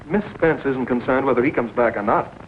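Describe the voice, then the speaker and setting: Quick male voice, actor as impatient business aide, brisk, formal, 1940s film, faintly noisy sound. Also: mid-range voice, natural voice. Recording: scratchy, narrow-band 1940 film soundtrack.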